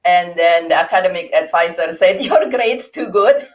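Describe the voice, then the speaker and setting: A woman in her forties with an Indonesian accent, a guest in an interview, speaking earnestly with frequent laughs and halting restarts. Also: on the low side. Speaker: interview guest, grief coach. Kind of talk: conversational interview.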